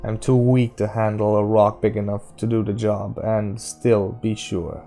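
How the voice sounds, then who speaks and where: A man voicing a weary, sick gunslinger in an audiobook, terse, stoic and measured. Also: lowish voice, little pitch movement, gravelly, warm tone, soft background music.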